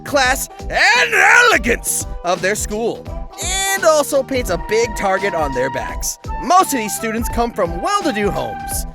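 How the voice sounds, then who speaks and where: A woman's voice: fairly high pitch, wildly swooping pitch, a little slow, music in the background. A woman narrating a comic recap as host, theatrical, sarcastic and foul-mouthed.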